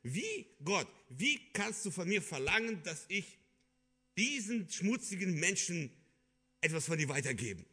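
A man from Kyrgyzstan preaching a sermon in an earnest, halting way, with many fillers and restarts. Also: sits high, sing-song, bright, forceful tone, husky.

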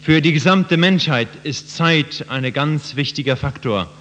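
A man's voice: on the high side, unhurried, fairly bright tone, slight background noise. A man interpreting a sermon phrase by phrase for the listeners. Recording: muffled, reverberant hall.

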